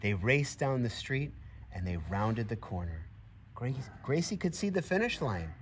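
Middle-aged man, animated storybook reading, encouraging teacher, swooping intonation, child-directed asides, outdoor background noise. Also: mid-range voice, a little slow. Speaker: teacher reading a picture book aloud to children. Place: outdoors in a grassy farmyard by a barn. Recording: clear outdoor background ambience.

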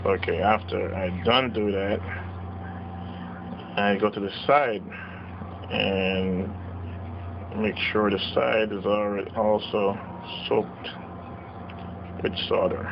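Man, repair technician, slow unhurried talk, low gravelly voice, lo-fi noisy bench recording. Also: steady tone. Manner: slow, plain step-by-step explaining with pauses. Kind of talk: hands-on repair demonstration. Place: workbench, indoors. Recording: dull lo-fi camera audio with noise.

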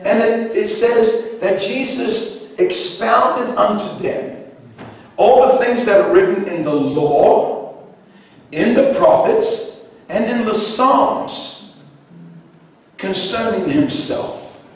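Impassioned preacher in an echoey hall. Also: male voice, high-pitched, lively intonation, slow, distant mic. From New Zealand, raised in Iceland. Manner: impassioned preaching, rising to shouts. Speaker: pastor. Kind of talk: sermon. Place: large church hall with high ceiling. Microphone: handheld mic, heard from a distance in the room.

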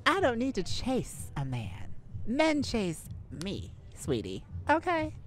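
A woman playing an outgoing, playful, sassy young best friend in an audio roleplay, theatrical and teasing, with drawn-out words. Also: fairly high pitch, wildly swooping pitch, a little hiss or hum, heavily acted. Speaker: ASMR roleplay voice actor. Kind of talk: ASMR audio roleplay, addressed to a listener.

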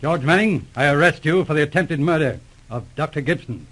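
An actor playing a stern, confident Mountie sergeant, terse and formal, in 1930s radio drama style. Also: male voice, a little high, animated, a little fast, a bit bright, low-quality recording. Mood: stern, triumphant.

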